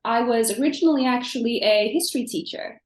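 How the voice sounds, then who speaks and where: A woman's voice: a little high, fast, echoey room. A woman from South Africa with a South African accent, an English teacher chatting as a guest in a casual interview. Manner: chatty, animated, frequent fillers.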